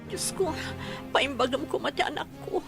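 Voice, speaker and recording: A woman's voice: high-pitched, normal speed, vocal fry, over loud music. A woman playing an emotional, pleading young mother in a Filipino-accented radio drama. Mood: tearful, distressed. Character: distraught young mother afraid for her sick baby. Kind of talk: Philippine radio drama acting.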